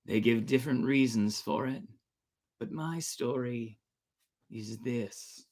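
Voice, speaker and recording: A man's voice: mid-range voice, takes it slowly, a little mellow. A man voicing an old-time pirate sailor who tells a sea yarn in a theatrical storybook reading. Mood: mysterious.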